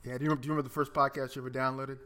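A man's voice: medium pitch, flat delivery, racing, bright, forceful tone. A man co-hosting a podcast in casual conversation, talking in an upbeat, chatty and emphatic way. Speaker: podcast co-host.

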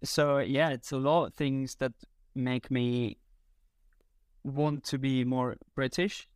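A man's voice: medium pitch, level tone, takes it slowly, darkish tone, clear, resonant tone, no room sound, clean studio sound. A man from Spain with a Spanish accent, a native Spanish speaker talking casually in English as an interview guest, often searching for his words. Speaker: interview guest, content creator. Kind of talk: casual conversation.

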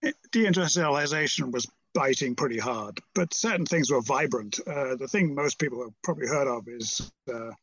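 A man's voice: medium pitch, animated, moderate pace, fairly bright tone, a little hiss or hum. Hesitant older British man, slightly muffled. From Merseyside, England. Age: 70s. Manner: hesitant, rambling, understated. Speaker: interview guest, academic. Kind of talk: reflective conversational interview.

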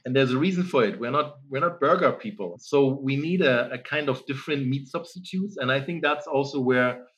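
A man's voice: medium pitch, a little fast, hardly any pauses. A man in his forties from Germany, chatting casually as a guest in an interview, often saying "you know". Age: 40s. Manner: relaxed, rambling, many "you know" fillers. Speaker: founder, guest.

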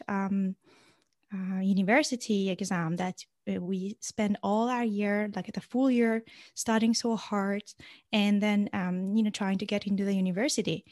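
A young woman from Iran, a guest in an interview, speaking natively and answering in a halting way with many fillers and restarts. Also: medium pitch, darkish tone, no echo, clean studio sound. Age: young adult.

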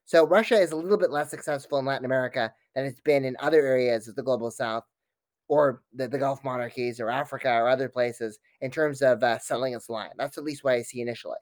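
A man's voice: mid-range voice, quick pace, no echo. A man speaking as an expert guest in an interview, giving analytical, wordy answers with frequent false starts.

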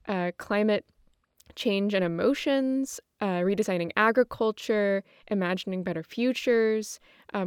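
A woman's voice: mid-range voice, clear, resonant tone, dry recording. Woman podcast host, close dry sound. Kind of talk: casual podcast chat.

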